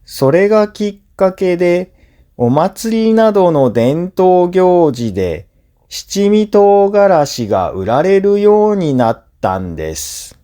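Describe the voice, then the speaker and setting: A man reads scripted teaching material at a very slow, careful pace. His voice sits a little high and moves up and down in a lively way. He breaks sentences into short, deliberate segments so learners can follow. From Japan. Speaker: language teacher and narrator. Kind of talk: scripted listening-practice narration.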